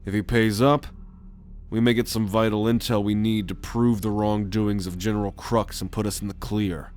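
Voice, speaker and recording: Man acting a low-voiced commanding alien captain. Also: little pitch movement, darkish tone, natural voice. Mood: calm, assured. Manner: authoritative, measured, giving orders. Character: commanding male alien scout captain on the run, protective, roguish. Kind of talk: ASMR sci-fi roleplay acting.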